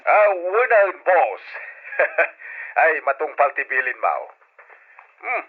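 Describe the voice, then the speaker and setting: A man's voice: a little high, very animated, slow, exaggerated voice. An actor with an Ilocano accent playing a hearty, doting older farmer father in a radio drama. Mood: hearty, reassuring. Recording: tinny phone-like old radio sound.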